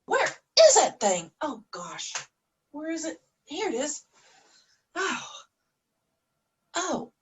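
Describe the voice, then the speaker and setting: A woman playing a scatterbrained, flustered theater board president in a farcical comedy, with a husky voice and halting delivery. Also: high-pitched, sharp tone, exaggerated voice. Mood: distracted. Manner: dithering, halting. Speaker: actor in online comedy.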